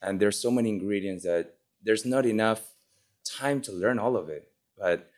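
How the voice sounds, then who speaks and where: A man's voice: lowish voice, moderate pace, darkish tone. A man from Argentina, a chef, telling his story fluently and easily in an interview. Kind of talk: interview answers.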